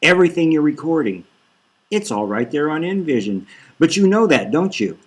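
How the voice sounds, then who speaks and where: A man voicing a smooth, probing bull-man doctor in an audiobook, asking calm questions. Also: a little high, normal speed, voice pushed up.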